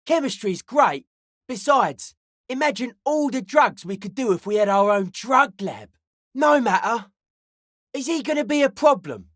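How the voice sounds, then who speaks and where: An actor playing a laid-back young hardcore underground raver who is wary of cops, talking in sardonic street slang for a video game. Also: male voice, very high voice, sing-song, a little slow, a bit bright, no echo, clean studio sound, full character voice. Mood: enthusiastic.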